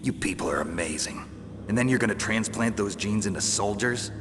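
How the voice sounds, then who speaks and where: A low, gravelly male actor's take on a stoic infiltration soldier from a video game. He speaks in terse, skeptical questions with a deadpan edge, amid long reverb and clear background noise. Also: a little fast, close to an everyday voice. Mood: dry skepticism. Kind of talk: dramatic video game dialogue.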